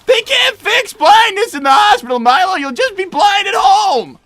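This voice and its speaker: A performer voicing an excitable young fox boy, a flustered older brother who stammers and argues on an in-character gaming livestream. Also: very high-pitched, normal speed, no echo, soft background music, heavily acted. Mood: flustered, worried. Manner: stammering, exasperated, argumentative.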